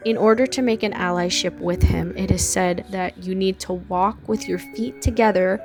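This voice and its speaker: A woman's voice: mid-range voice, steady tone, moderate pace, music in the background. Chatty young woman explaining a legend. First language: English. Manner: chatty, laughs at odd details, many fillers. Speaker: folklore channel host. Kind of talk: narrated explainer with casual asides. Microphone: close home mic with audio pops.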